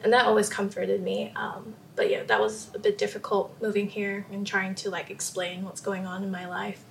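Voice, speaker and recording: Guest: young woman, gravelly, soft-spoken, light hum behind. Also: medium pitch, level tone, moderate pace, low-quality recording. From Samoa. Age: young adult. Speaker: podcast guest. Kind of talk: casual interview talk.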